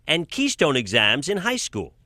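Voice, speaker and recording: A man's voice: on the high side, wildly swooping pitch, normal speed, bright, forceful tone. An American man working as an investigative reporter, delivering scripted broadcast news narration.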